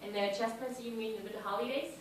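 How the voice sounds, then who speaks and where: A woman's voice: fairly high pitch, fairly even intonation, fast talker, a little hiss or hum. A woman from Germany, describing her own experience in simple, step-by-step English. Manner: simple plain phrasing, explains step by step. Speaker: panelist. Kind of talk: personal account on a panel. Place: large hall. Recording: echoey hall, mic far off.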